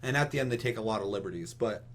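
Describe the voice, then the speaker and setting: A man's voice: fairly low pitch, animated, racing, a little hiss or hum. A young American man hosting a casual podcast discussion, giving opinions emphatically with lots of casual swearing. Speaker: podcast host.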